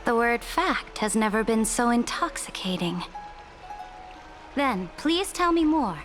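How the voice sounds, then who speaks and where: A woman voicing a haughty, commanding young emperor in a video game, speaking with regal, deliberate grandeur and cool amusement. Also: high-pitched. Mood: intrigued. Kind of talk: scripted video game voice acting. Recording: clean voice under clear background music. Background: game music.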